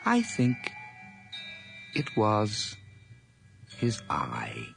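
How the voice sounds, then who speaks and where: A man playing a nervous, haunted madman drifter in a 1980s radio horror drama, halting and theatrical, dropping into whispers. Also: lowish voice, wildly swooping pitch, vocal fry, over music, full character voice. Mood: haunted, brooding. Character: nervous, haunted madman drifter and farmhand telling his tale.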